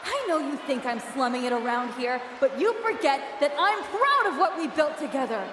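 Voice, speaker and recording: A bitter female wrestling promoter from a video game, high-voiced and deliberate. Every sarcastic, menacing phrase is drawn out and rings through a reverberant arena PA, with a noisy crowd behind it. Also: lively intonation. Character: bitter, jealous woman wrestling promoter turned villain. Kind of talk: theatrical in-ring promo speech. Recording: echoey arena PA with crowd noise.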